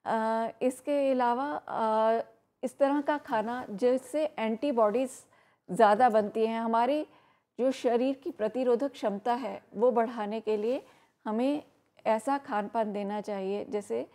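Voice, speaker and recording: Woman, warm mellow tone, slightly high voice, explanatory, measured. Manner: explanatory, measured, with frequent fillers. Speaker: health expert guest. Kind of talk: educational talk on live program.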